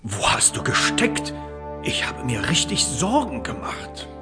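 A man's voice: mid-range voice, wildly swooping pitch, a little slow, over music. An actor playing a worried, flustered king and father in a children's audiobook, blustering and placating. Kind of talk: children's audiobook drama acting.

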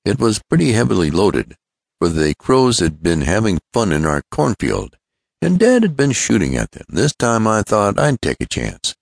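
A man's voice: on the low side, wildly swooping pitch, normal speed, creaky, no room sound, clean studio sound, pitched above the performer's own voice, full character voice. A man voicing an eager, joking young male friend of an inventor in an adventure storybook, breezy, exclamatory and full of questions. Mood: rueful, chatty.

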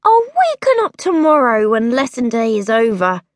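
A woman's voice: a little high, wildly swooping pitch, normal speed, no room sound, clean studio sound, pitched above the performer's own voice. A woman voicing a cheeky, rude little girl princess in a storybook reading, bratty and defiant. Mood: grumpy.

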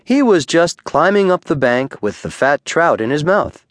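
A man narrating a children's bedtime story audiobook with expressive, deliberate storytelling. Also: on the high side, dry recording, clean studio sound.

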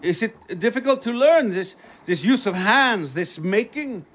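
A restless, idealistic European aristocrat in exile in a radio play. His voice sits high and swings widely in pitch. He speaks with theatrical pride, yet stumbles and hesitates. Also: animated, unhurried, fairly bright tone, heavily acted. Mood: uncertain. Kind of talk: audio drama stage acting.

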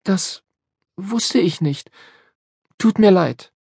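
A man voicing a curious, outspoken young girl in a storybook reading, in a raised, bright tone. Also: high-pitched, animated, very slow, creaky, slightly rough, no echo, studio quality, pitched above the performer's own voice, full character voice. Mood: contrite. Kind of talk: storybook character voice.

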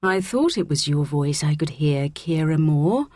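A woman narrator voices a head teacher in a school story. She is calm and authoritative yet kindly, with expressive pitch movement and a dry studio-close sound. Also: unhurried, dry recording.

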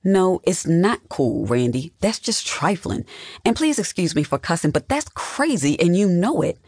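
A narrator voicing a brash, nosy, excitable younger sister who talks in a sassy, vehement way. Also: medium pitch, a little fast, no room sound, studio quality, voice pushed up, lightly acted. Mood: outraged.

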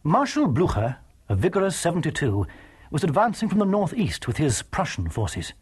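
Husky man, lively dramatic narration. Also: fairly high pitch, moderate pace. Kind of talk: scripted dramatic historical narration.

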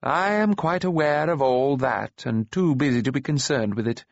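A man's voice: medium pitch, normal speed, creaky, no echo, lo-fi sound. A man voicing an old enchanter in a storybook, unperturbed, stern and curtly dismissive. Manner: curt, dry dismissiveness.

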